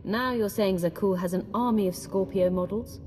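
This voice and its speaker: A woman playing a commanding, wary Sith warrior in a game, authoritative and measured. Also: mid-range voice, a little mellow, faint music behind, natural voice. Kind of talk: scripted game cutscene dialogue.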